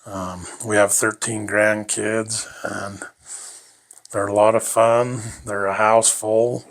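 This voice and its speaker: Older male interviewee, low-ish voice, rambling folksy storyteller who chuckles often. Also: little pitch movement, takes it slowly. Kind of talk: reminiscing life story.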